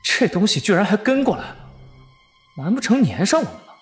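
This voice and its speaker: An actor playing a young cultivator's wary, impatient inner thoughts in an audio drama, muttering tensely. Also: male voice, high voice, very animated, fairly quick. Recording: echoing thought effect, music behind.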